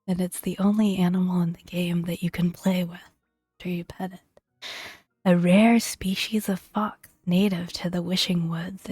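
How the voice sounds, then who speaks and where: A woman narrates in a hushed, breathy near-whisper, in the manner of soft-spoken ASMR. Her pace is very slow and her delivery gentle and soothing. The sound is close and dry, with faint music underneath. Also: lowish voice, dry recording. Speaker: gaming ASMR video creator.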